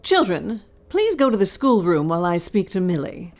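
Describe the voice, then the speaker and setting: Brisk, exasperated governess, swooping pitch. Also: female voice, very animated. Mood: brisk, composed. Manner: brisk, authoritative. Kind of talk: audio drama acting.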